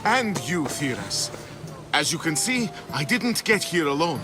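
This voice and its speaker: An actor playing a gentle, uneasy artist of ancient Athens in a video game. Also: male voice, fairly high pitch, big pitch swings, unhurried. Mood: grateful. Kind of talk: video game dialogue acting. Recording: loud music under the voice.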